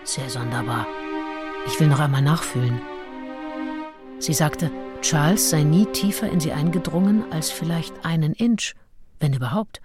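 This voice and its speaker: A woman's voice: low voice, moderate pace, music in the background, natural voice. An actress playing a self-assured, seductive nineteenth-century woman diarist, frank and intimate, in a radio play. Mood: intimate, puzzled. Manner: frank, matter-of-fact, intimate. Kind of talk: radio play, first-person diary reading.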